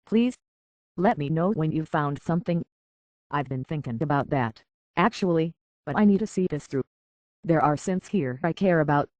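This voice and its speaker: An actress playing an earnest, conflicted young woman in a video game, speaking hesitantly and with a soft heart. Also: deep voice, animated, normal speed, dry recording, lo-fi sound. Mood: upset, then resolved. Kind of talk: video game character dialogue.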